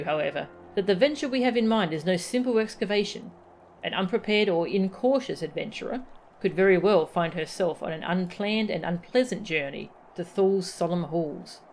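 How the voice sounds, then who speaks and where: A woman voicing a courteous, learned expedition leader in a fantasy game, with formal, wordy and measured speech. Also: medium pitch, a little mellow, lightly acted.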